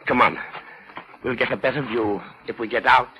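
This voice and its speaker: An actor as a gravelly, sardonic radio killer. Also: male voice, mid-range voice, steady tone, moderate pace, lo-fi sound, lightly acted. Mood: falsely genial. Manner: sardonic, taunting, commanding. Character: menacing male killer, sardonic, controlling, falsely genial. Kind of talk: 1940s radio thriller acting. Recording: noisy, crackly 1940s radio recording.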